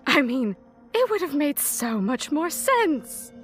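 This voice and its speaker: Ancient snake monster woman, sickly sweet n taunting, super lively pitch swings, audio drama villain. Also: soft background music, full character voice. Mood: teasing, gloating. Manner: taunting, sing-song mock-sweet, drawn-out words. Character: ancient female serpent monster, posing as seductive, mocking woman.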